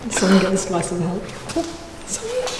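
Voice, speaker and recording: A woman's voice: mid-range voice, wildly swooping pitch, moderate pace. A young woman vlogging through an abandoned care home on a handheld camera, often whispering and swearing casually. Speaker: urban explorer vlogger. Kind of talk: casual handheld vlog commentary. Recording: echoey rooms, noisy background.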